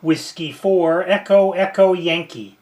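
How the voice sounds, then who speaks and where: A man working a ham radio contact, slowly spelling his call sign in phonetics. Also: high-pitched, noisy background. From United States.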